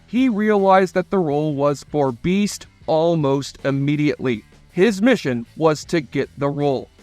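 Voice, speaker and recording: A man's voice: fairly high pitch, dry recording. A man reading scripted narration for a retrospective video documentary. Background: faint music.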